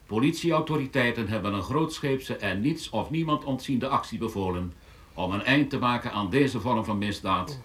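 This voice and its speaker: A man's voice: mid-range voice, moderate pace, a bit bright, natural voice. A man playing a formal television announcer and newsreader in a radio play, with grandiose delivery. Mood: solemn. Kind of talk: solemn broadcast news announcing.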